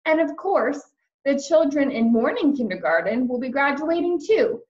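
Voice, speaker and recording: A woman's voice: sits high, expressive, normal speed, darkish tone, dull audio, voice pushed up. A woman voicing a cheerful, orderly kindergarten teacher in a storybook, addressing her class and explaining things simply. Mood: cheerful, announcing.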